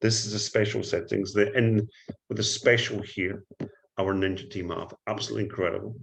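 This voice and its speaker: A Scottish man giving a trading tutorial, talking up his strategy in an excited salesman patter and often calling out "ladies and gentlemen.". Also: on the low side, fairly quick, creaky, a little hiss or hum, low-quality recording. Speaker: forex trading instructor. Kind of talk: screen-share trading tutorial.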